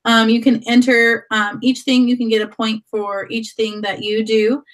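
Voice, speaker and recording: A woman with a slightly high voice hosts a live online chat. She has a sore throat from a cold, and she rambles and restarts her sentences as she talks over a video call. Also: flat delivery, normal speed, low-quality recording. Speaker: host.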